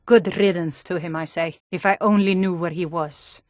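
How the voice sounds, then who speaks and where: A woman's voice: on the low side, steady tone, moderate pace, dry recording, clean studio sound, natural voice. A woman voicing a proud, blunt adult Nordic townswoman in a fantasy video game, with earnest, dramatic delivery. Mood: resentful. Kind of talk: scripted fantasy game dialogue.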